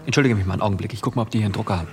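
Actor for eager, flustered man, radio play academic, quick-spoken and defensive. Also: lowish voice, big-room sound, close to an everyday voice. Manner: hurried, defensive.

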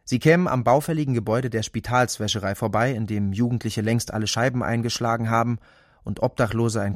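A man giving a measured, clearly articulated reading of literary prose, as scripted narration. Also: medium pitch, no room sound, clean studio sound.

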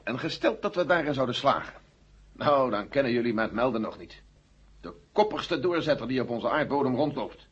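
A man's voice: mid-range voice, lively intonation, normal speed, fairly bright tone, husky. An actor playing a blunt, sceptical male general with a firm, matter-of-fact delivery in a radio drama. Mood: resigned, insistent. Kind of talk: 1970 Dutch radio drama acting. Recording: muffled old 1970 radio recording.

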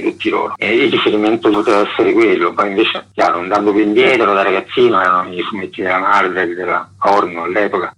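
A man's voice: low-pitched, bright, forceful tone, husky, low-quality recording. A middle-aged man, talking discursively in a casual radio interview, with frequent filler words. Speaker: interview guest, graphic artist.

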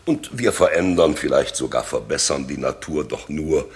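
An actor playing a loyal, courteous older counsellor in a classical German radio drama, speaking formally and with measure. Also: male voice, extremely low pitch, lively intonation, normal speed. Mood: instructive, thoughtful.

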